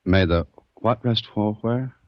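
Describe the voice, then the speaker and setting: An actor playing a wry, inquisitive adventurer who also narrates, conversationally, in a 1940s radio drama. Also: male voice, lowish voice, unhurried, no echo, natural voice. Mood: puzzled, insistent. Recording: dull old 1940s radio recording.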